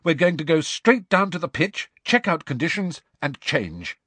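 A man voicing a teenage girl sports captain in a storybook, brisk and businesslike as she gives orders. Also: a little high, big pitch swings, pushed and bright, husky, no room sound, pitched above the performer's own voice, heavily acted.

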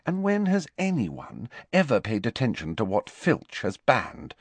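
A man's voice: mid-range voice, wildly swooping pitch, breathy edge, no room sound, pitched above the performer's own voice, heavily acted. A man voicing a clever, bossy teenage schoolgirl who lectures scornfully. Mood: cool. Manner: brisk and lecturing.

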